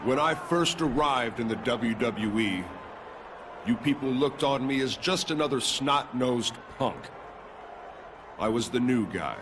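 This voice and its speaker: A man doing a slow, cocky wrestler taunting. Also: mid-range voice, animated, background noise, close to an everyday voice. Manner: slow, deliberate, taunting delivery. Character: cocky, menacing male pro wrestler boasting. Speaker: arrogant wrestler cutting a promo.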